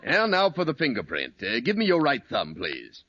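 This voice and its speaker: A man's voice: medium pitch, normal speed, some chatter behind, heavily acted. A man playing a put-upon license examiner in an old radio sitcom, wry and sarcastic, with fraying patience. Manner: deadpan, wry, increasingly exasperated. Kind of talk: 1940s-50s radio sitcom acting. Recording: noisy, dull old radio recording, audience.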